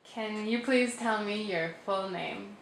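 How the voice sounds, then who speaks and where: Woman, interviewer, plain even questions, echoey room, faint noise. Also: mid-range voice, slow pace, creaky.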